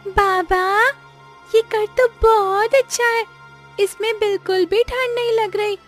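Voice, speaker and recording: A woman's voice: very high-pitched, fairly even intonation, takes it slowly, voice pushed up. A woman voicing a sweet, delighted little girl in a cartoon story, with a childlike manner. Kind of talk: cartoon story character acting. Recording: music behind the voice.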